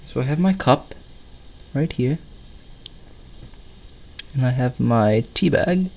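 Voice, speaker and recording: A man voicing a cheerful, daft British inventor who loves cheese, in a gentle ASMR cartoon parody, speaking in an unhurried, pottering way. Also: medium pitch, expressive, creaky, roomy sound, recorded from a distance. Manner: gentle, unhurried, pottering storytelling with small hums and asides.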